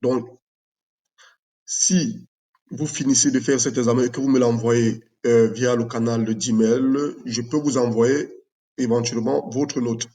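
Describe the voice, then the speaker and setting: A man from Cameroon, a math teacher presenting his own project in an unscripted video, speaking in a measured way with many fillers. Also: mid-range voice, fairly even intonation, dark tone.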